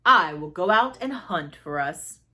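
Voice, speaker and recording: A woman's voice: fairly low pitch, expressive, a little slow, sharp tone. A woman puts on a great river serpent in a man's shape. He is a storybook villain, terse, commanding and full of menace. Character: menacing male great serpent posing as young man.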